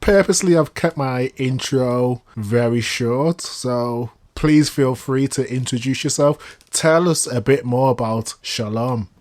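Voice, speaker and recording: A man's voice: medium pitch, unhurried, hardly any pauses, darkish tone. A man hosting an interview podcast, introducing and questioning in a polite, prepared way. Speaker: podcast host.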